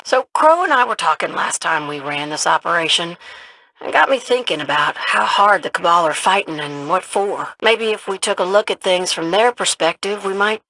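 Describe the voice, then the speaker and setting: Bright, folksy pilot. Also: female voice, fairly low pitch, lively intonation, moderate pace, exaggerated voice, poor audio. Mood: earnest. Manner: folksy, plain-spoken, drawling slang. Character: plucky, folksy, warm-hearted young woman pilot. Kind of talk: dramatic video game dialogue.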